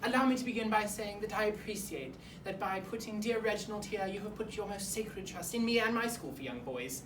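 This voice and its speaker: A woman's voice: mid-range voice, fairly quick, lightly acted. A woman playing a pompous, awkward boarding school headmaster in a comic monologue, with fussy, rambling formal politeness. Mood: grave, evasive. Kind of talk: comic monologue in a speech competition performance. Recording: roomy, distant mic, clear background noise.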